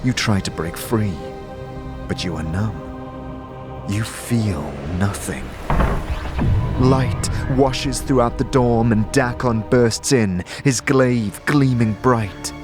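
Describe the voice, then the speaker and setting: A man's voice: mid-range voice, takes it slowly. A man delivering dramatic, scripted fantasy narration as the narrator of an audio drama. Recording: loud music behind the narration.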